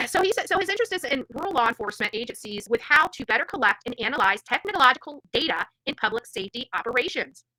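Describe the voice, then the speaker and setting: A woman's voice: high-pitched, lively intonation, fast talker, hardly any pauses, sharp tone, no room sound, rough recording. A woman hosting a true crime livestream, telling stories and commenting informally in a brash, feisty, rambling way. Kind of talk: informal live commentary with storytelling and reading aloud.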